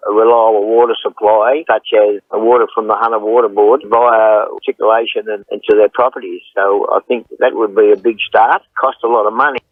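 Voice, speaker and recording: A man's voice: fairly low pitch, level tone, brisk, few pauses, dry recording. A man with an Australian accent giving an unscripted interview comment as a local official. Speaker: mayor interviewed. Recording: tinny narrow phone line.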